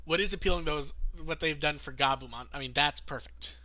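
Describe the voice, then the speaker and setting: Bright-voiced man hosting a podcast. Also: fairly high pitch, a little fast. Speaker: podcast host. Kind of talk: casual podcast discussion reading out news.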